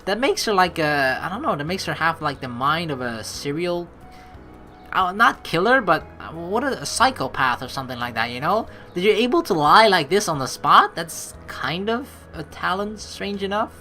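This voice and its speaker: A woman's voice: fairly low pitch, big pitch swings, moderate pace, bright, forceful tone. A woman hosting a casual let's play, playfully reading game narration and rambling through her own commentary. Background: game background music.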